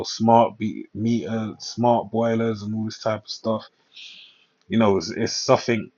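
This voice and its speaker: A man's voice: fairly low pitch, breathy edge. A man giving informal news commentary, reading items aloud and reacting in a rambling way with preacher-like asides. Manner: rambling, preacher-like asides, repeatedly checks with "all right". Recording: dull lo-fi microphone.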